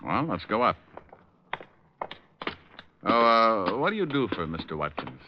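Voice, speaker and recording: A man's voice: lowish voice, very animated, a little slow, noisy background. A man playing a shrewd, wisecracking tough private detective in a 1940s style radio drama. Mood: wry, breezy. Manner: sardonic, wisecracking. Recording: muffled vintage radio recording.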